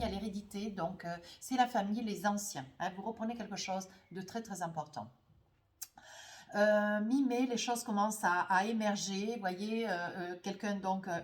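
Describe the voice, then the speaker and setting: A woman with a cold reads tarot cards for her viewers in speech. She rambles and hesitates, tagging her sentences with little asides. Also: mid-range voice. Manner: rambling, hesitant, full of "hein" and "voyez" tags. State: has a cold, runny nose. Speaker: tarot card reader. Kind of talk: spoken tarot reading to viewers.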